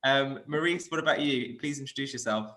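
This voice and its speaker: Bright-voiced man hosting a panel. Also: medium pitch, a little fast. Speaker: panel host. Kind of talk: online panel moderation.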